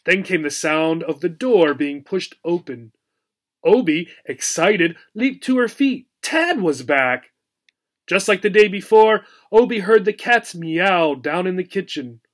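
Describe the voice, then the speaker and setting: A man narrating a children's story as an audiobook reader, in an expressive storytelling manner. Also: high-pitched, measured pace.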